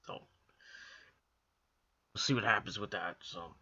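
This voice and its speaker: A young man from the Northeastern US rambles casually through a wrestling review, stumbling over restarts and fillers. His voice is hoarse from a cold, lowish but bright and pushy in tone. The recording has a dull, lo-fi home sound. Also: unhurried. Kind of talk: casual solo commentary to camera.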